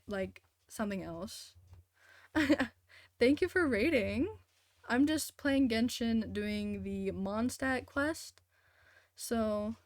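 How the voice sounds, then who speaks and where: A woman's voice: on the high side, no echo, studio quality. A woman live streamer chatting casually while gaming, hesitant and thinking aloud as she talks to viewers.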